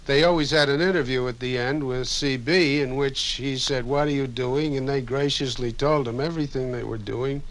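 A man reminiscing in an interview about radio work, measured, with pauses and a dry wit. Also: medium pitch, a bit bright, low-quality recording.

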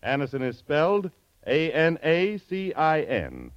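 Casting: male American old-time radio announcer, animated scripted ad read, dry but dull sound. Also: on the high side, a little slow, clear, resonant tone, no room sound. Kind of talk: mid-century scripted radio advertising. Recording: muffled old radio recording.

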